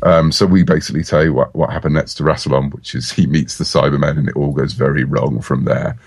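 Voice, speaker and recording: A man's voice: rumbling low, steady tone, quick pace, gravelly, slightly rough, no room sound. A man chatting casually and enthusiastically as the guest in an interview, talking as a comic writer.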